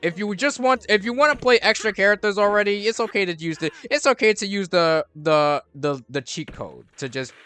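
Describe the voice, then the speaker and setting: A man's voice: high voice, brisk, few pauses, sharp tone, clean tone. A young man giving rambling, casual commentary while playing a video game, restarting his sentences and swearing freely. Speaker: gaming streamer.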